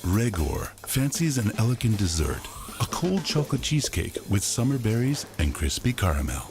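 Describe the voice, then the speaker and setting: A man's voice: lowish voice, very animated, normal speed. A man giving dramatic scripted narration for a cooking show. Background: background music.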